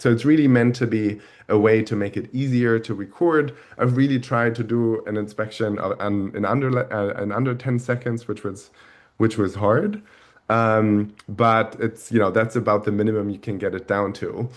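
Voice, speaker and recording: A man with a thick German accent hosting a product webinar over a video call, talking in a halting way with many restarts. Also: fairly low pitch. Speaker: webinar host. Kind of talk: product demo webinar talk.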